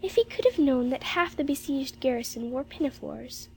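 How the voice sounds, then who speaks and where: High-pitched little girl, played by a woman, timid, dreamy, plaintive, storybook character. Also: expressive, brisk, slight background noise.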